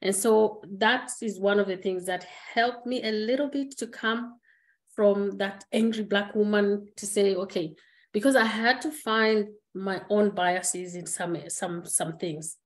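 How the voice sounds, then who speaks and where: A middle-aged woman from Zimbabwe speaking on a webinar call, reflective and earnest, pausing on fillers and restarting her sentences. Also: medium pitch. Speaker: guest speaker. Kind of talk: candid personal conversation. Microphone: webinar video call.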